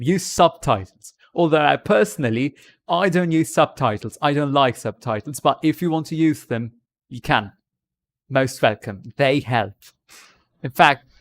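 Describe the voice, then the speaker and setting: A man doing a put-on British accent, chatting on a live stream from India and giving rambling, jokey tips. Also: medium pitch.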